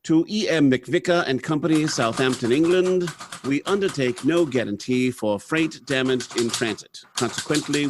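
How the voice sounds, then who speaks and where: A man's voice: medium pitch, noisy background, close to an everyday voice. A man acting a courteous, businesslike factory general manager in a 1930s-style radio drama, speaking formally and politely. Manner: formal, brisk dictation and polite address.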